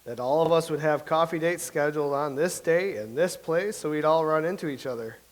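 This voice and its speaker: A man's voice: a little high, a little fast, close to an everyday voice. A man reading the part of a wry, quizzical playwright. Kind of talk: staged reading.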